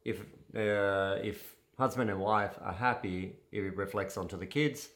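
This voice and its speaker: A man born in Serbia co-hosting a casual couple's chat, easygoing and a bit halting as he talks. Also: on the low side, a bit bright. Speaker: podcast co-host.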